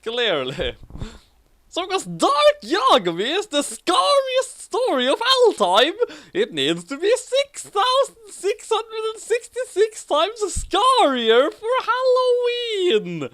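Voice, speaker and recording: A woman's voice: very high voice, wildly swooping pitch, slow pace, fairly bright tone. A woman co-hosting a comic fan fiction reading, switching between casual chat and theatrical, exaggerated delivery.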